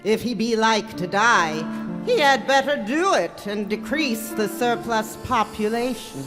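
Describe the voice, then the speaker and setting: An actor playing a stern, solemn ghostly spirit in a live radio drama, speaking gravely and deliberately with declamatory weight. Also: medium pitch, expressive, lightly acted. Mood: solemn rebuke. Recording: long hall reverb, faint music behind.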